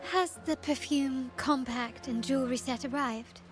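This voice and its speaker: A woman's voice: high-pitched, fairly even intonation, a little slow, over music. An actress playing a poised young lady customer in a video game, polite, direct and decisive. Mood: brisk, polite.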